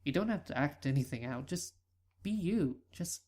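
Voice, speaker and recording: A man's voice: fairly high pitch, lively intonation, moderate pace, a little mellow, voice pushed up. Brief: easygoing young unicorn buddy, breezy and teasing, raised voice that sounds set back, voiced by a male reader. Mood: reassuring. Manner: laid-back, joking.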